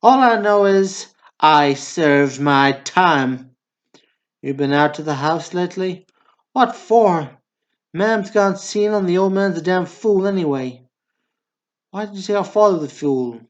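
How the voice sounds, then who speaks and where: A man's voice: high voice, lively intonation, a little slow, lo-fi sound. A man playing a blunt, bickering small-town Southern brother in a radio drama. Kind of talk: stage comedy dialogue, radio drama acting.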